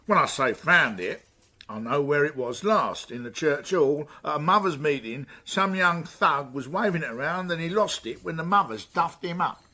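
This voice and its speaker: Gruff, scornful police inspector, swinging wildly in pitch. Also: male voice, lively intonation, normal speed, pushed and bright. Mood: smug. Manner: blunt, scornful, colloquial. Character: grumpy, sarcastic, lazy male London police chief inspector. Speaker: actor in a radio detective drama. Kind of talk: radio drama acting.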